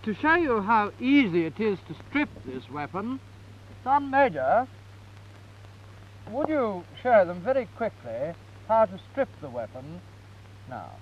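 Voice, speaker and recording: A British man speaking as an army officer in a formal, measured military manner, giving a prepared explanation for a 1950s newsreel. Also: high voice, very animated, background noise. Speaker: army officer, lieutenant-colonel. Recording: dull 1950s newsreel film sound.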